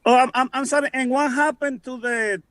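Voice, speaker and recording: Male caller, notably high voice, casual and hesitant, dry phone sound on a video call. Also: steady tone, fairly quick, fairly bright tone, no echo. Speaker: participant calling in. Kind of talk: casual chat.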